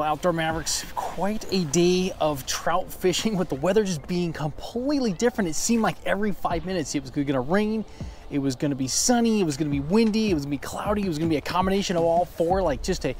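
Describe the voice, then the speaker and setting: A man's voice: sits high, lively intonation, quick pace, a little mellow, soft background music. An American man in his thirties giving casual, upbeat running commentary as a fishing vlogger, recorded outdoors. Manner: chatty, informal, upbeat. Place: outdoors by a small lake.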